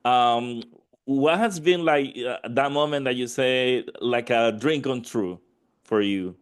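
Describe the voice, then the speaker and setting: A man interviewing a guest, asking rambling questions with many halting restarts. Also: medium pitch, clear, resonant tone.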